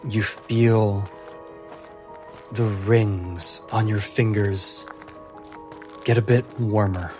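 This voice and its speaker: A man narrating a tabletop fantasy game as game master, in deliberate, atmospheric phrases broken by pauses. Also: lowish voice, steady tone. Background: music.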